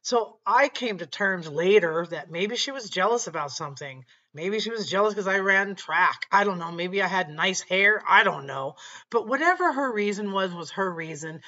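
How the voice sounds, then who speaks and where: A middle-aged woman with a slightly raspy voice, telling a personal faith story earnestly to her webcam at home. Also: medium pitch, sharp tone, lo-fi sound. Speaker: channel host. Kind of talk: faith-based personal talk to camera. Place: small room at home, indoors.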